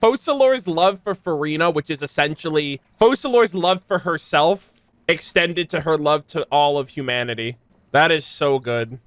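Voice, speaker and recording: Clear-voiced, higher-pitched man reacting casually. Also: expressive, moderate pace, fairly bright tone, no echo. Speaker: streamer reacting to a game. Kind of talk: casual live game reaction.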